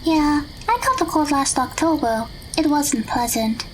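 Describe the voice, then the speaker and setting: A woman playing a gentle, polite teenage magical girl in an audio drama, speaking matter-of-factly. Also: sits high, very animated, a little slow, slight background noise, rough recording. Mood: friendly.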